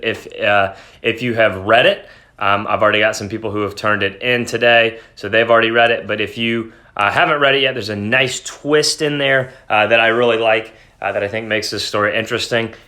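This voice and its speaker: A man's voice: medium pitch, pushed and bright. A man speaking as a teacher, in an informal, explanatory lesson style with dramatic read-aloud of a story. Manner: explanatory, breaks off reading to paraphrase in plain words.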